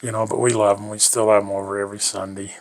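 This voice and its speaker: A man's voice: on the low side, level tone, quick pace. An older man reminiscing about his life in an interview, rambling and folksy, chuckling often.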